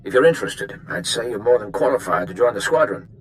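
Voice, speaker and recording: Man acting a low-voiced military commander. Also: lively intonation, fast talker, natural voice, low-quality recording. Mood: earnest, official. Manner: formal military briefing. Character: earnest male navy flight commander. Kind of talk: video game character acting. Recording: faint noise behind the voice.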